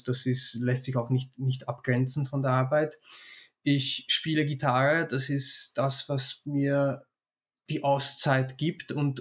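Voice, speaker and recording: A middle-aged man from Hungary speaks in a reflective interview. He lays out his ideas carefully in a mid-range voice, with small restarts and fillers along the way. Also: mid-range voice. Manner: thoughtful, explanatory, frequent restarts and fillers. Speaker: interview guest, consultant and startup founder.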